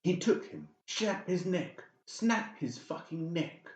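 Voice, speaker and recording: A man voicing a sharp, goading shadow spirit inside someone's head, in a horror audiobook. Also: on the high side, lively intonation, slow, roomy sound, voice pushed up. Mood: menacing, goading. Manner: menacing, goading, commanding. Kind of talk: dramatised horror audiobook dialogue.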